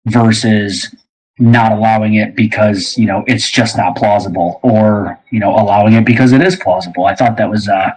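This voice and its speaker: A man's voice: fairly low pitch, steady tone, moderate pace, a little mellow, husky, poor audio. A man in a casual discussion, rambling through his thoughts with lots of filler phrases. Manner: rambling, frequent "you know".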